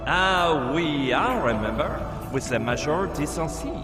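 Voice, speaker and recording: An actor playing a vague, forgetful middle-aged French professor with a thick French accent. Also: male voice, a little high, expressive, a little slow, over music. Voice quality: thick put-on French accent, mixing French words in. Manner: vague, forgetful and cheerfully oblivious. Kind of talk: video game cutscene acting.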